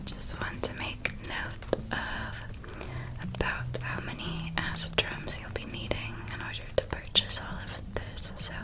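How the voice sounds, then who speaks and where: A woman voicing a gentle, reassuring witch guide in a whispered ASMR roleplay, hushed and soothing as she tells things. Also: natural voice, low-quality recording. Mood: gentle, helpful. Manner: hushed, soothing, unhurried storytelling. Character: gentle, reassuring witch guide helping a young academy student.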